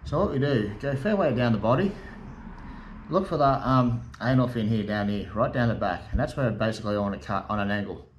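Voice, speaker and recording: A man's voice: mid-range voice, a little fast, lo-fi sound, a little hiss or hum. A middle-aged man with an Australian accent, chatting casually as he shows how to bait a fishing rig, recorded by a camera at his table in a garage workshop. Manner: relaxed, chatty, with fillers and matey asides. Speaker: fishing channel host. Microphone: camera in front at desk distance.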